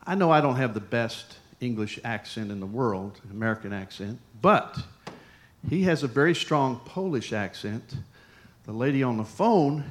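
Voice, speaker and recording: Male pastor, mid-pitched, chatty sermon delivery with anecdotes and quick asides. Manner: conversational storytelling, lively asides. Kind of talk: sermon with personal story.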